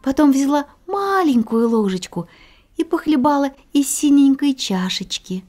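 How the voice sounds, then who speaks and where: A woman narrating a children's fairy tale in an expressive, sing-song, deliberate storytelling manner. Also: sits high, faint music behind.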